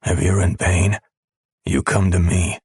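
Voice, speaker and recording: A deep, husky male lover, put on by a woman narrator, speaking in a slow, low rasp. He is possessive and commanding, murmuring his orders unhurriedly. The sound is dry and close. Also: little pitch movement, a little mellow, no room sound, full character voice. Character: rough possessive adult man, lover.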